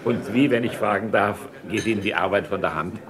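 An actor playing a genteel, concerned doctor and old friend in a crime radio play, polite, measured and dryly probing. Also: male voice, fairly low pitch, over loud music, lightly acted.

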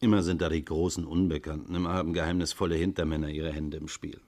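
An actor playing a gruff, methodical, sardonic police inspector, dry and stern, in a 1950s German radio crime drama. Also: male voice, extremely low pitch, level tone, fast talker, a little mellow. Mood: stern, probing.

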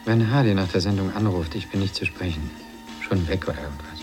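An actor playing an evasive, placating radio station boss in a radio drama, brisk and businesslike. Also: male voice, low voice, over music, close to an everyday voice. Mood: curt, businesslike. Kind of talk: 1980s German radio drama acting.

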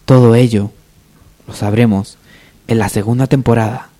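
A man's voice: medium pitch, steady tone, normal speed, warm tone. A man narrating a parody radio soap opera from a script.

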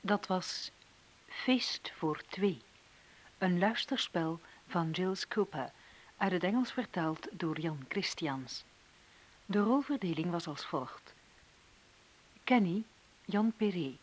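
A woman with a Flemish accent formally reading scripted credits as a radio announcer, in a measured manner. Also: fairly low pitch, expressive, rough recording.

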